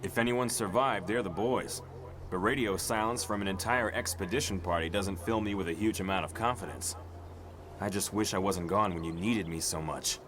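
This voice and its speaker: Actor playing young male hero, deep voice, earnest, protective, motion comic drama, vehicle noise behind. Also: moderate pace, lightly acted. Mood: concerned. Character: earnest young superhero team leader, protective, worried.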